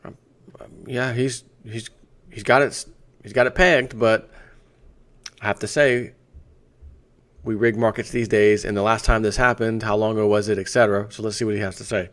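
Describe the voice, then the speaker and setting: A man's voice: on the low side, steady tone, normal speed, pauses often, fairly bright tone. A man hosting a financial news video, reading an article aloud in a conversational way and breaking off for off-the-cuff asides. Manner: conversational, breaks off to add asides and rhetorical questions.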